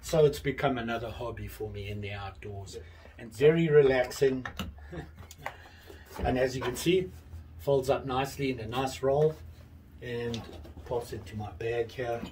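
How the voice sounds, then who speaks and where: A man in his fifties casually showing his tools in a small workshop, talking in a relaxed, rambling way with many pauses and restarts. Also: medium pitch, a little hiss or hum, poor audio. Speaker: hobbyist channel host. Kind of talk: casual show-and-tell vlog. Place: small workshop with pegboard tool wall, indoors.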